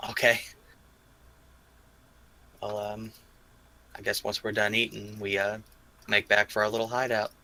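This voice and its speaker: A man's voice: on the low side, monotone, unhurried, pauses often, pushed and bright. A man playing a tabletop game, chatting casually and thinking aloud as he goes.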